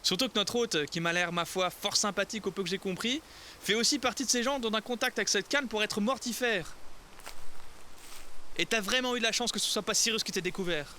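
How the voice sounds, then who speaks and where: A man's voice: sits high, fairly quick, pushed and bright, a little hiss or hum. A man playing a wry, exasperated young adventurer with amnesia in a radio play. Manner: wry, exasperated, easily awed. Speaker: lead character.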